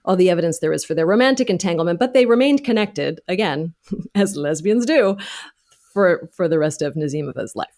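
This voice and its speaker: A woman's voice: lowish voice, lively intonation, a little fast, a little mellow. Articulate woman recounting history in careful detail. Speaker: podcast guest, actor and author. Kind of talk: conversational interview, recounting historical research.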